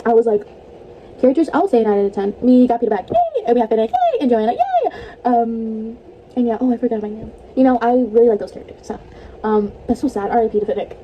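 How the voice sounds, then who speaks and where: A woman's voice: a little high, very animated, fast, dull audio, noisy background. A young woman vlogging casually about movies, chatty and rambling, laughing often, her voice a bit stuffy and tired. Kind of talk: casual movie review vlog.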